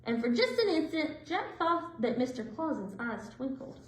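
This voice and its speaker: A woman reading a children's storybook aloud in an expressive, deliberate way. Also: sits high, slight background noise. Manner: animated, deliberate phrasing. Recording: roomy indoor echo.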